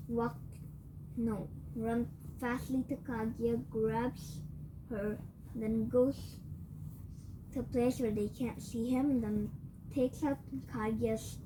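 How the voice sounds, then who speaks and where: A woman with a tiring, cracking voice tells an improvised fan story, rambling, correcting herself and clearing her throat often. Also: a little high, noisy background. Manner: rambling, with frequent self-corrections. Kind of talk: improvised fan-fiction retelling.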